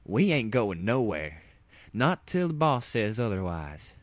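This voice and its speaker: Man voicing a dark, folksy pony henchwoman. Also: lowish voice, sing-song, a little slow, no echo, voice pushed up. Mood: dark, mocking. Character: brainwashed, gruff female farm pony minion.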